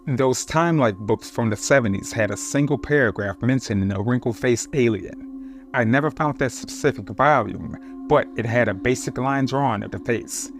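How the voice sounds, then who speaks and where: Man reading creepy tales, soft music. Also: mid-range voice, moderate pace. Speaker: narrator. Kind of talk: scripted horror story narration.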